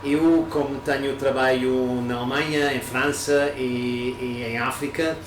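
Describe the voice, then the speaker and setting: Hesitant German man, flat delivery. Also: medium pitch, unhurried. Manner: hesitant, many fillers and restarts. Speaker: guest. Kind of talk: casual interview chat. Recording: echoey room, distant mic, noisy.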